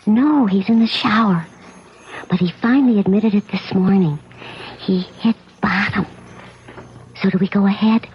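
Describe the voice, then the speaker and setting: An actress playing a scheming, two-faced wife in a radio drama, colloquial, by turns impatient and coaxing. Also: female voice, mid-range voice, moderate pace, long pauses, natural voice. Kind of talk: 1970s radio drama acting. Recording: dull, slightly noisy old radio recording.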